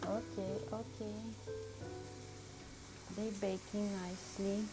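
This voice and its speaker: Slow-talking chatty woman, music behind. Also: mid-range voice, little pitch movement, low-quality recording. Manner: chatty, laughs often, reads chat aloud. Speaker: vlogger cooking and chatting with live chat. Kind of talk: casual livestream chat. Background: soft background music.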